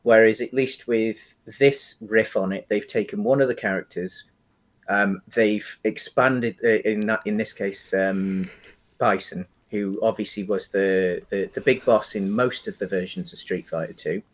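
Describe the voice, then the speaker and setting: A man co-hosting a podcast, discussing film casually in a halting, explanatory way. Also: fairly low pitch. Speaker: podcast co-host.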